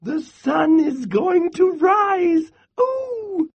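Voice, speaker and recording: Little young squirrel for a storybook: very high, piping, cheeky, swooping sing-song delivery. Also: male voice, big pitch swings, extremely slow, highs cut off, heavily acted. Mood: gleeful. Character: small chirpy young male squirrel, gleeful and teasing.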